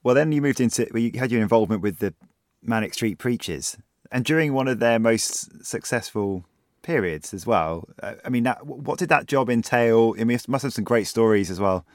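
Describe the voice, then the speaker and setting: Man, interviewer, curious, keen, mid pitch, dry sound. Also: expressive, fairly quick, hardly any pauses, dry recording. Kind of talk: interview questions.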